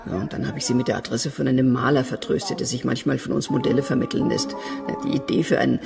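A woman's voice: extremely low pitch, little pitch movement, fast talker, gravelly, darkish tone, soft background music, exaggerated voice. An actress playing an offhand, dismissive woman with a gossipy streak in a German radio crime drama. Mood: offhand, brusque.

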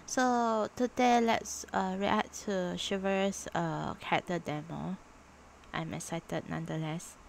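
A woman's voice: lowish voice, fairly even intonation, slow, creaky, slight background noise. A young woman giving casual, hesitant reaction commentary as a streamer.

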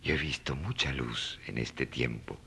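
An actor playing a devout, resolute young son in a radio drama, speaking earnestly and respectfully. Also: male voice, very deep voice, measured pace, vocal fry, bright, forceful tone, slight background noise, exaggerated voice.

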